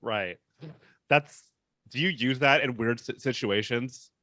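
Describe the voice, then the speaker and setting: An easygoing man hosts a casual interview, upbeat and sprinkling in ums as he speaks. His voice is bright and forceful, and the recording is dry and close with no room sound. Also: mid-range voice, takes it slowly, dry recording. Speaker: interviewer, host.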